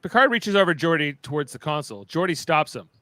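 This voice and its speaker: A man's voice: fairly high pitch, expressive, quick pace, bright, forceful tone, dry recording. A man narrating stage directions in a playful, dramatic way for a live fan script reading.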